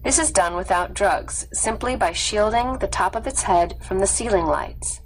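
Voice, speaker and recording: A woman narrating a scripted nonfiction story in a measured, clearly read delivery. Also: medium pitch, slight background noise, poor audio. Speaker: audiobook narrator.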